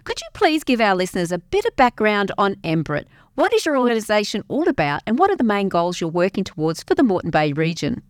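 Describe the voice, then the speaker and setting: Lively woman radio presenter. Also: medium pitch, fast talker, hardly any pauses, no echo, clean studio sound. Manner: friendly, clearly scripted questions. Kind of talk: radio interview, reading prepared questions.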